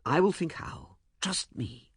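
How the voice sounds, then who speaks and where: A man's voice: on the high side, animated, slow, breathy edge, dry recording, clean studio sound, voice pushed up, exaggerated voice. A man voicing an ardent, determined young suitor in an audiobook, speaking with urgent, insistent emphasis. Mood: coaxing, fervent. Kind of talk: audiobook character dialogue.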